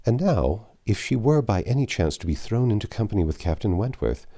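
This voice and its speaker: A man's voice: fairly low pitch, big pitch swings, brisk, dark tone. A man reading a classic novel aloud as an audiobook narrator, in a scripted storytelling style.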